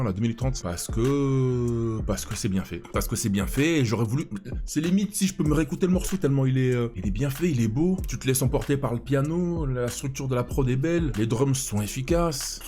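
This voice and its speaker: A man in his thirties giving a casual first-listen reaction to a rap album, excited and full of restarts, making beat sounds with his mouth. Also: mid-range voice, fairly quick. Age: 30s. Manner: enthusiastic, imitates beats with sounds, hesitant restarts. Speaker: music reaction youtuber.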